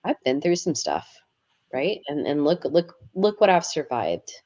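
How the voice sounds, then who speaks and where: A woman's voice: deep voice, big pitch swings, measured pace, warm tone, lo-fi sound. An earnest woman guest talking about her experiences, often repeating words as she starts a phrase. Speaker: guest, yoga teacher and author. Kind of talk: casual interview conversation.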